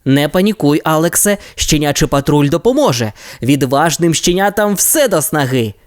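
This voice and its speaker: A calm young boy leader gives orders in a reassuring, commanding voice, as a woman reading a storybook puts him on. His pitch moves a lot. The sound is dry and close. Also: normal speed, dry recording.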